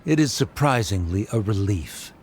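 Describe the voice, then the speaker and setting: A man's voice: on the low side, animated, moderate pace, a little mellow, a little hiss or hum, lightly acted. An actor playing a stern, haughty middle-aged scholar father in a video game, formal and condescending. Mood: grudging. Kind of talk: dramatic scripted game dialogue. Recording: faint music behind the voice.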